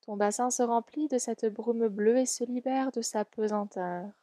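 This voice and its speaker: A woman leading a guided relaxation meditation in a soft, soothing, gentle and unhurried way. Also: fairly high pitch, steady tone, dry recording, studio quality. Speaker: yoga nidra guide.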